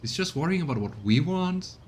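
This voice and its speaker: A man's voice: animated, brisk. A man doing a voiced let's play, reading game text expressively and reacting to it as a streamer. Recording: low rumbling background noise.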